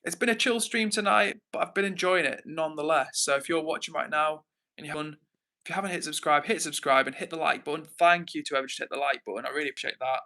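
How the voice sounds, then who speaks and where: An English man hosts a relaxed live chat in a bright, forceful voice pitched a little high. He talks informally to viewers, rambling and thinking out loud as he works through their comments. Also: quick pace. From England. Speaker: livestream host.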